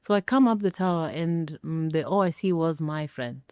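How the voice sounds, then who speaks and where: A middle-aged woman telling a personal story as an interview guest, speaking emphatically with pauses for effect. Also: sits low, a little mellow, dry recording, studio quality. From Kenya. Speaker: interview guest, former air traffic controller.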